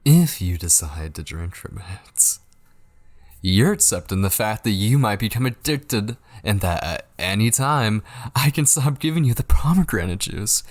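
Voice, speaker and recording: A man acts out a possessive, obsessed male kidnapper for an ASMR roleplay. His low voice is husky and gravelly, coaxing and menacing by turns. He often sinks into breathy whispers, very close to the microphone. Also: sing-song, unhurried, no room sound. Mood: coaxing, threatening. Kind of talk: ASMR audio roleplay acting.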